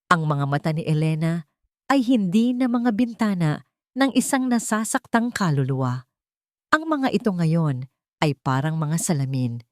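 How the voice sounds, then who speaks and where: A woman with a Filipino accent narrating a dramatic scripted story in measured, dramatic phrasing. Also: mid-range voice, a little mellow, dry recording, clean studio sound.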